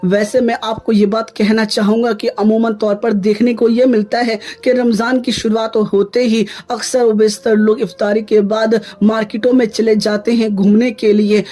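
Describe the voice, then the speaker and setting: A man's voice: sits high, flat delivery, normal speed, few pauses, dark tone. A man hosting an online video, giving scripted commentary and religious exhortation in an earnest, admonishing manner, addressing listeners as friends. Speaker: YouTube channel host.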